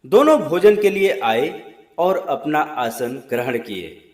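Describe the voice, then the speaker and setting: A man narrating a scripted moral story in a deliberate, expressive storytelling manner. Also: a little high. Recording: roomy, echoing voice recording.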